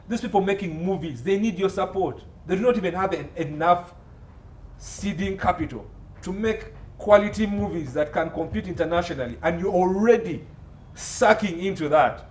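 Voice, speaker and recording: Man, Ugandan accent, slightly high voice, emphatic, repeats key phrases, interview guest, faint background noise. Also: normal speed, a little mellow, distant mic. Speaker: guest, entertainment law consultant. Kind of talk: interview commentary.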